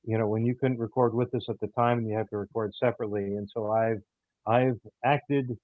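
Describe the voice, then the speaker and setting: A man answering interview questions about a radio show, speaking in a halting way with repeated words. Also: fairly low pitch, steady tone, no room sound. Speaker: audio theater director and producer, guest. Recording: muffled, phone-like, narrow-band line.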